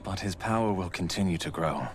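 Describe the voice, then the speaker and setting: An actor playing a grave, knowing guardian in a fantasy game, speaking in a measured, portentous way. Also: male voice, low voice, slight background noise, natural voice. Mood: ominous. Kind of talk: video game voice acting. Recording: faint music behind.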